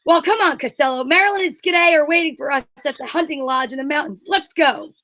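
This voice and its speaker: A woman's voice: high-pitched, fast talker, sharp tone. A woman playing the bossy, exasperated straight man of a 1940s-style radio comedy duo. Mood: bossy, brisk.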